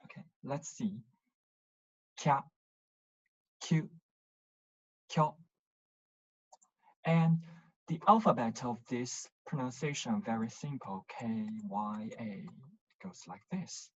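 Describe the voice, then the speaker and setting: A language teacher gives a pronunciation lesson in a slow, measured way. The delivery is instructive, with syllables drilled one by one and short checking questions along the way. The audio is somewhat dull and lo-fi. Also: medium pitch.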